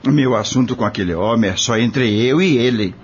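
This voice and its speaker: An actor playing a humble, wary farm worker in an audio drama, with husky, plain rustic speech. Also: male voice, medium pitch, moderate pace, close to an everyday voice. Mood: wary, bitter. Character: humble dismissed male farmhand, wary and indignant. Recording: dull, lo-fi audio drama recording.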